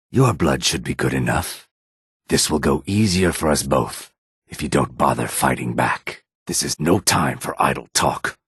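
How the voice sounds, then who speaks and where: An actor playing a weary, guarded male lizard-folk character in a fantasy video game, speaking in a measured, formal way with a raspy, rumbling voice. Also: dry recording, studio quality, exaggerated voice. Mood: menacing, then tense, alert. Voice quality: raspy, husky, low rumbling.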